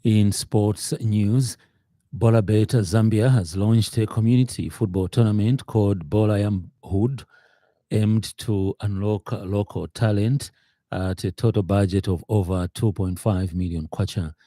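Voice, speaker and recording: A man reading the evening news bulletin on radio in a measured, formal way, speaking into a studio microphone. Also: fairly low pitch, fairly even intonation, warm tone, no room sound. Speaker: newsreader.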